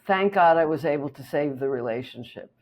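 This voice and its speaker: A woman real estate agent whose first language is German, calmly explaining her work and telling a client story in an interview. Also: low voice, fairly quick. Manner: steady, explanatory, with small restarts.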